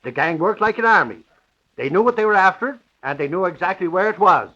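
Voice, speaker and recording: An actor playing an excitable, blustering former cop in 1940s radio style, on a dull, noisy old recording. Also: male voice, sits high, a little fast, exaggerated voice. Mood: indignant, emphatic.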